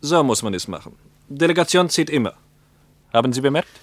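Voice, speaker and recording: An actor playing a chatty male Moscow interpreter and guide in a radio play, with brisk announcements and wry asides. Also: medium pitch, animated, no room sound, close to an everyday voice. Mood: wry, grumbling.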